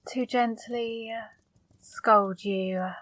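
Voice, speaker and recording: Woman playing a very slow, flirty demoness, ASMR. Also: a little high, fairly even intonation, dry recording. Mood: seductive, scolding. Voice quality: soft, breathy, partly whispered. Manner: slow, coaxing, teasing. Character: flirty, teasing female demon, gently scolding. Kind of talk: ASMR roleplay, intimate direct address. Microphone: close microphone, dry.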